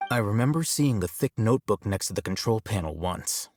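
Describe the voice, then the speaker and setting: An actor playing an aloof, coolly logical young male scholar in a video game, measured, detached and matter-of-fact. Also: fairly low pitch, lively intonation, a little mellow, soft background music, lightly acted. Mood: explanatory, grave. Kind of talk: video game character dialogue.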